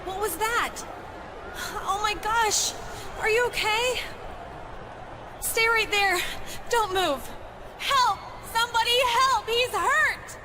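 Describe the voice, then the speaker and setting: A terrified young woman cries out for help over a fallen man. Her voice sits extremely high and stretches each word slowly. Noise fills the scene behind her. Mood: panicked. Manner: screaming for help. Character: panicked young woman finding an injured man. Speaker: frightened bystander.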